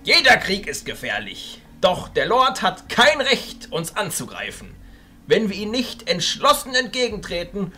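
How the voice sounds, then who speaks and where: A man's voice: slightly rough, exaggerated voice. A man voicing a commanding, bearded old wizard archchancellor in a fantasy audio drama, speaking in an emphatic, declamatory way. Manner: declamatory, emphatic, swinging between hushed and booming.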